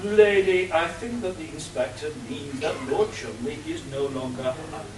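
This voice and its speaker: A man playing a long-suffering butler in an amateur radio play, formal and deadpan. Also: fairly high pitch, normal speed, crowd noise, natural voice. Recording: roomy, distant, audible hiss.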